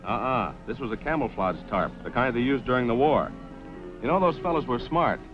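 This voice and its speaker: A male actor plays a steady, clever flying cowboy in an old radio western. He is a man of medium pitch. The vintage recording is muffled, with prominent music. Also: fairly quick, lightly acted. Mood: calm, purposeful. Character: steady, sharp-minded cowboy pilot and uncle. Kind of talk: old-time western radio drama acting.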